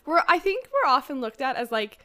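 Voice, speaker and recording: A woman's voice: high voice, very animated, brisk, sharp tone. A young Canadian woman with a Canadian accent, chatting casually and giggling, with lots of "like". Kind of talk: casual chat.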